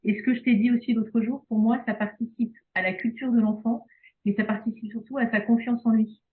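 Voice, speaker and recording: A woman's voice: a little high, fairly even intonation, fairly quick. A woman from Belgium, a speech therapist talking casually in an interview, hesitant with many fillers and restarts. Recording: phone-like video call audio.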